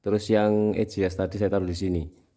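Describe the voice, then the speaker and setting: A man's voice: deep voice, level tone, a little fast, dark tone. A man with a Javanese Indonesian accent, giving a casual how-to demonstration as a tutorial host. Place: indoors at home, small room.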